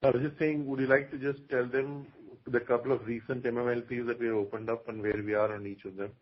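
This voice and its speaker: A man speaking as a company executive, explaining business matters on a conference call in a husky voice. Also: mid-range voice, level tone, fast talker. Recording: dull, lo-fi conference call audio.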